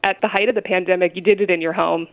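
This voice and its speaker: A woman opera singer, chatty and quick to laugh, being interviewed over the phone. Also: lowish voice, fairly even intonation. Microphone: telephone. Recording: tinny phone line.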